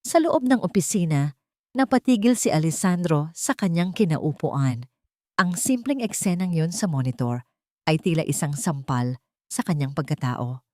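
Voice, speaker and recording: A woman's voice: sits low, lively intonation, normal speed, dark tone, no echo, clean studio sound. A woman narrating a dramatic scripted love story as an audiobook.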